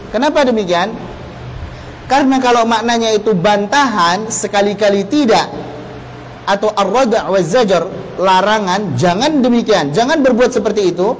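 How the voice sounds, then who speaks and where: High-voiced Indonesian man teaching. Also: slight background noise, poor audio. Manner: explanatory, poses rhetorical questions and answers them. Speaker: Islamic teacher giving a Quran recitation course. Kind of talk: instructional religious lecture with Arabic terms and quotations.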